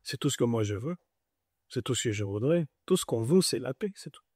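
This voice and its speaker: Earnest Congolese man, mellow dry voice. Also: mid-range voice, lively intonation, brisk, no echo. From DR Congo, Goma. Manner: earnest, reflective, many repetitions and restarts. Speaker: musician guest. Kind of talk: interview conversation.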